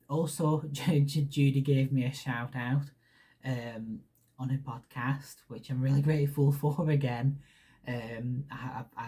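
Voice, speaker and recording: Rambling Hungarian-accented man, mellow husky voice. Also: mid-range voice. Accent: Hungarian with Lancashire English. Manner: rambling, many restarts and ums, laughs shyly. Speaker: podcast host. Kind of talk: casual solo podcast chat.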